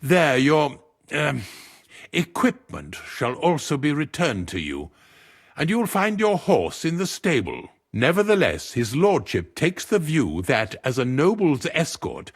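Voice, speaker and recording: An actor playing an officious, condescending steward to a medieval lord in a video game, speaking formally and pedantically. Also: male voice, fairly high pitch, husky, exaggerated voice. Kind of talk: video game cutscene acting.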